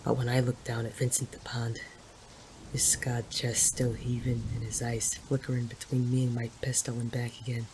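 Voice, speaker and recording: Brooding noir detective, flat and terse, acted. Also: mid-range voice, a little hiss or hum, lightly acted, low-quality recording. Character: world-weary hardboiled male detective, conflicted, gruff, smitten. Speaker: narrator and lead actor. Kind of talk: noir audio drama acting with first-person narration.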